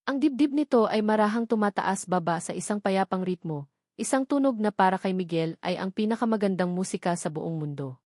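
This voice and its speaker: A woman with a Filipino accent narrates a scripted dramatic story in a measured, solemn way with deliberate pauses. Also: medium pitch, a little mellow, no room sound, clean studio sound. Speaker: audiobook narrator.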